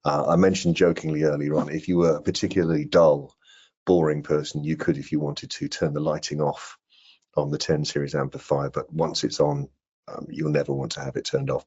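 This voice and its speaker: Very deep, husky older man. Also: darkish tone. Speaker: product trainer. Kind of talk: webinar product presentation. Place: indoor room on a video call. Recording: dull, muffled video-call audio.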